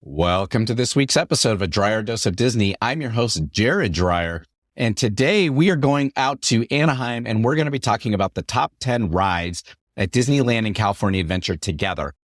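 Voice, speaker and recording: A middle-aged man hosting his podcast in an upbeat, chatty way, his voice deepened by a lingering cough after an illness. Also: animated, moderate pace, no echo, studio quality. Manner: upbeat, chatty, repetitive phrasing. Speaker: podcast host. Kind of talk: solo podcast talk.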